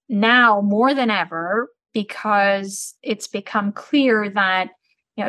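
A middle-aged woman from Turkey, a business school dean, answering interview questions in a measured, articulate, explanatory way. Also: medium pitch, a bit bright, no echo.

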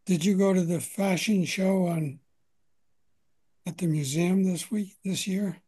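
Male interviewer, breathy and warm, halting delivery with repeated words. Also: high voice, steady tone. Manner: halting, repeats words while asking questions. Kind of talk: informal interview questions.

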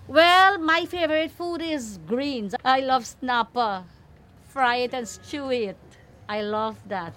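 Guyanese woman, slow and emphatic. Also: high voice, fairly bright tone. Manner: emphatic, repeats phrases. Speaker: shop owner interviewed.

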